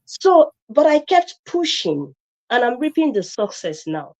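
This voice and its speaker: A woman's voice: on the high side, very animated, measured pace, a little mellow. A woman from Nigeria with a Nigerian accent, a guest on a live stream, talking emphatically with many fillers and restarts.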